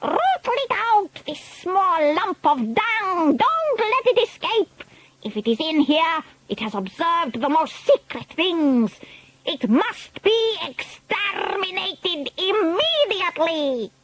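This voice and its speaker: A woman's voice: very high voice, expressive, slow pace, a bit bright, dry recording, voice pushed up, exaggerated voice, low-quality recording. Woman playing a commanding witch queen. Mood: furious. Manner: commanding, clipped orders. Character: menacing, imperious old female witch queen. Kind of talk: audiobook character voice.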